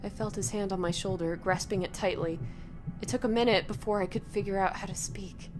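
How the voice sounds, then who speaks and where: A woman reading a first-person horror story in a dramatic, emotive, breathy delivery. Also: medium pitch, steady tone, brisk, noisy background.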